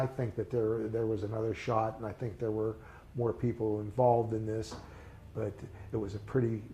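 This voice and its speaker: An older man reminiscing in a casual interview, speaking haltingly with many fillers and restarts. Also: lowish voice, darkish tone, slight background noise. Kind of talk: recalled personal memories in a casual interview.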